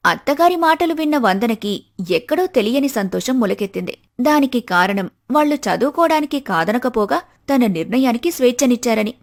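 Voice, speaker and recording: A woman's voice: a little high, lively intonation, a little fast. A woman reading scripted story narration as the narrator of a family tale.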